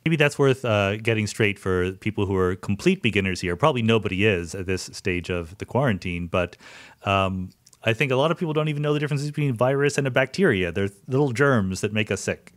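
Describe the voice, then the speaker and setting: A man's voice: on the low side, animated. A middle-aged man hosting a podcast, asking questions in a curious, enthusiastic conversational way. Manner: curious, enthusiastic, quick asides. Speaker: podcast host. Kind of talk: conversational interview.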